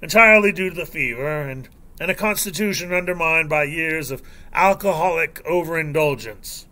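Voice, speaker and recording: A ship's surgeon played by a male narrator in a seafaring storybook, with a bright, fairly high voice. He is matter-of-fact and blandly evasive, with a wordy, formal turn of phrase. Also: normal speed. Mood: bland reporting.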